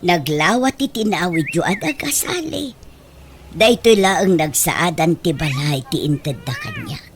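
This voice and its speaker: A woman's voice: on the low side, wildly swooping pitch, unhurried. A woman playing a meek, long-suffering older aunt in a radio drama, with a Filipino accent. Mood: protesting. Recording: noticeable background noise.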